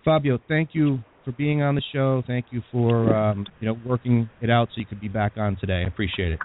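Chatty radio host, tinny sound. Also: male voice, medium pitch, steady tone, brisk, a little hiss or hum. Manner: chatty, many fillers. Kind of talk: radio interview.

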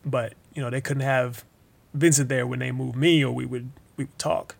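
An actor playing a weary but forgiving wrongfully imprisoned young man in an interview-style drama monologue, halting and informal, repeating words. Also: medium pitch, steady tone, gravelly. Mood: hesitant, reflective.

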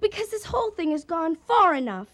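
Brief: folksy female waitress, high lively voice, earnest kindhearted peacemaker, radio comedy. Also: a little fast, full character voice. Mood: earnest, pleading. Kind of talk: radio comedy drama acting.

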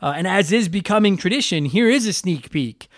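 A man's voice: sits high, animated, a little fast, no room sound, studio quality. A man hosting a podcast, stuffed up from allergies, talking in a rambling, profane, self-deprecating way. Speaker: podcast host. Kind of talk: casual podcast intro and plugs.

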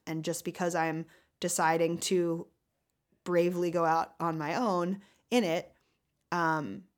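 A deep-voiced woman co-hosting an advice podcast, talking frankly in casual chat and laughing easily. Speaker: podcast co-host.

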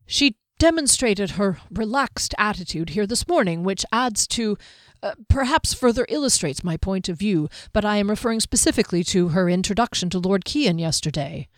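A woman's voice: mid-range voice, lively intonation, moderate pace, dry recording, clean studio sound, natural voice. A woman voicing an earnest young man in an audiobook, courteous, stiff and worried in manner. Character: earnest, formal, anxious young man with doubts.

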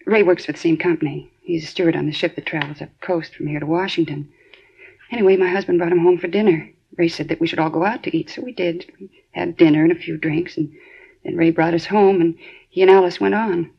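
A woman's voice: on the low side, steady tone, fast talker, creaky, slightly rough. An actress playing an anxious middle-aged housewife telling police what she knows, in earnest 1950s radio drama style. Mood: worried. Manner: earnest, worried retelling. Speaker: witness questioned by police. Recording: dull 1950s radio broadcast.

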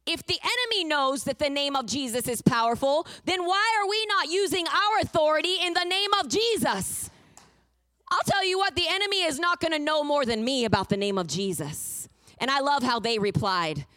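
A woman's voice: high voice, animated, moderate pace, bright, forceful tone, dry recording. Fervent woman preaching, dry close sound. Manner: fervent, emphatic, storytelling preaching. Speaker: guest preacher. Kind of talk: sermon with personal testimony.

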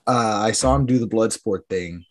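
A man co-hosting a podcast in casual chat, his voice raspy from a weekend of yelling, rambling and trailing off into mumbles. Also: on the low side, moderate pace. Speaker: podcast co-host.

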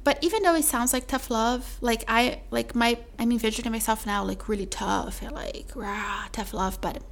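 A woman hosting her own podcast, talking chattily to the listener with a mellow voice and calling them "my love.". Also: fairly high pitch, steady tone, normal speed, gravelly. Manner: chatty, calls listener "my love", says "like" often. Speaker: podcast host. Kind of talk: solo podcast sales pitch.

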